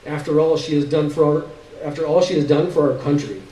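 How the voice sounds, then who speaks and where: A man's voice: fairly high pitch, fairly even intonation, fairly quick, warm tone, roomy sound, far from the mic, close to an everyday voice, low-quality recording. A man reading the part of an earnest, devoted older uncle who makes solemn, reverent declarations in a staged story.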